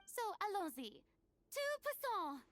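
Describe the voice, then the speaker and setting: An actress playing a self-assured young celebrity performer in an anime-style game, speaking in a grand, dramatic manner. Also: female voice, extremely high pitch, sing-song, measured pace, fairly bright tone, exaggerated voice. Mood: grand, confident. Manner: grand, dramatic, flowery phrasing.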